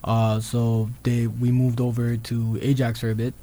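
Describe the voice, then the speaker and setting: Mellow-voiced young man. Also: medium pitch, monotone, a little slow, slight background noise. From Toronto, Canada. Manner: hesitant, many ums and restarts. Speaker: guest musician. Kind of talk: casual interview chat.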